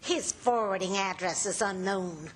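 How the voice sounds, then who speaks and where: A woman's voice: medium pitch, measured pace, a little hiss or hum, natural voice. A woman playing a patient, teasing housewife with a wry streak in an old-time radio comedy. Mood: wry, peacemaking.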